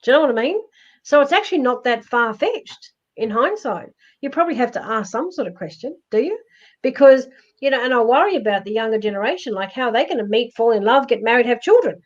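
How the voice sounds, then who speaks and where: A woman with a loud voice, giving emphatic, reassuring life advice in a casual live-stream chat. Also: fairly high pitch, lively intonation, fast talker. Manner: emphatic, reassuring, repeats herself. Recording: dull lo-fi stream audio.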